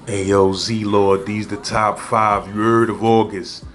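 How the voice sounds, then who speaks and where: A man with a low, flat voice rattling off shout-outs in a laid-back tone. Faint music runs underneath. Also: takes it slowly. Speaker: channel host doing shout-outs.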